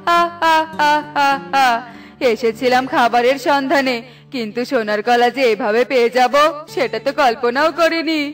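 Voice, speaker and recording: A little forest crow from a cartoon fable acted by a woman talks in a high voice. It is outspoken and exclaims excitably, over background music. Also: moderate pace, lightly acted. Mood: gleeful. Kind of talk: cartoon fairy-tale dialogue acting.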